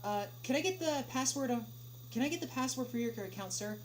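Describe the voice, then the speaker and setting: A woman's voice: a little high, expressive, a little fast, pitched above the performer's own voice, close to an everyday voice. A woman voicing a polite male pony call-center agent with an apologetic customer-service tone. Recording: echoey room with noisy hum.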